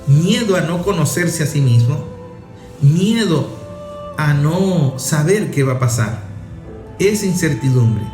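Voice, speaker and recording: A man with a Venezuelan Spanish accent speaks as a journalist host, building his points through emphatic, repeated phrases. His voice sits a little higher than most men's. It carries a roomy echo with faint music underneath. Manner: emphatic, repetitive rhetorical phrasing. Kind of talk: political opinion commentary.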